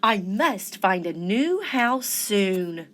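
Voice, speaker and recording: Gentle, polite boy-crab hero for a storybook, played by a woman, earnest and very expressive. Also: mid-range voice, extremely slow, full character voice. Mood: worried. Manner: earnest, admiring, courteous.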